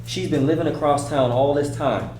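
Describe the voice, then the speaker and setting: A man's voice: fairly high pitch, moderate pace, darkish tone, close to an everyday voice. Man acting guarded young son, echoey distant mic. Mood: shocked demanding. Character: guarded, hurt young son, terse and stubborn. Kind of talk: screenplay table read. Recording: echoey room, distant mic, noisy hum.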